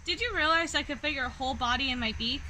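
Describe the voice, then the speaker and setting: A woman's voice: sits high, quick pace, sharp tone, a little hiss or hum. A woman voicing a blunt, exasperated female vulture in a children's storybook. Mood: bored, exasperated. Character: bored, indignant female vulture, blunt best friend.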